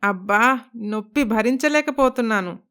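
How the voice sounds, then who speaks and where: A woman's voice: on the high side, moderate pace, a bit bright, smooth, natural voice. A woman voicing a stingy, stubborn middle-aged mother-in-law in a cartoon moral story, blunt and scolding in manner. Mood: pained, wailing. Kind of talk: Telugu animated moral story voice acting.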